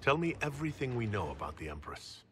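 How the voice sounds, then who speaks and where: Blunt, deep-voiced leader, very lively intonation. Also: male voice, fast, soft background music. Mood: probing. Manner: direct, questioning. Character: blunt, inquisitive male leader of a fantasy army. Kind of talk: dramatic game cutscene dialogue.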